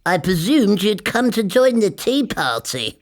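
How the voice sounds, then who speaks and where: Male narrator as storybook rabbit, high voice, courteous, brisk, lively swooping delivery, music behind. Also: full character voice.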